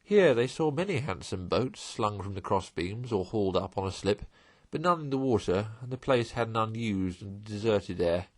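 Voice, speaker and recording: A man reading a classic children's storybook aloud in a lively way. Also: lowish voice, moderate pace, few pauses. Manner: lively, sings a verse in the story. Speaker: audiobook reader.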